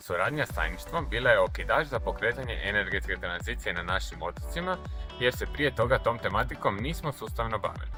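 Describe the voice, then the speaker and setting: A man introducing himself and his island agency's energy work in a produced video statement. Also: lowish voice. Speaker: head of energy transition at an agency.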